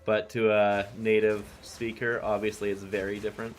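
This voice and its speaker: A man's voice: on the low side, level tone, moderate pace, a little hiss or hum. A man streaming a video game, chatting casually with his viewers while he plays, rambling easily between game callouts. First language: English. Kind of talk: casual chat while gaming.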